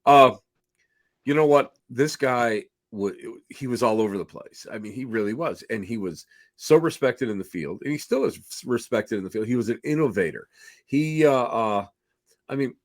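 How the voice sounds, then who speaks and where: A middle-aged man with a slightly hoarse voice, chatting in a folksy, effusive, rambling way as he opens a talk show as its host. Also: medium pitch, animated, moderate pace, no echo, studio quality. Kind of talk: casual rambling show introduction.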